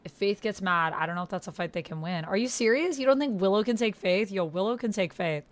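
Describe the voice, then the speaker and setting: A woman's voice: medium pitch, animated, fast talker. A woman livestreaming in a casual, slangy way, reacting expressively and thinking aloud as she goes. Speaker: YouTuber livestreaming a text roleplay game. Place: indoors at a computer.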